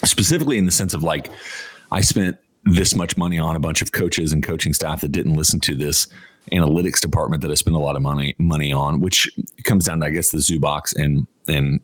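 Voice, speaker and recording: A man with a very deep voice talks sports as a podcast co-host. The delivery is casual and conversational, and the sound is dry and close. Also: steady tone, fairly quick, dry recording.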